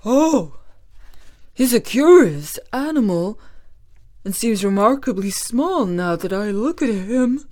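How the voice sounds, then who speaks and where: A woman voicing a timid, tearful male lion in a storybook reading, her voice raised and louder than her narration. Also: a little high, sing-song, takes it slowly, voice pushed up. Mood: sad, plaintive. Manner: sorrowful, plaintive storybook voice.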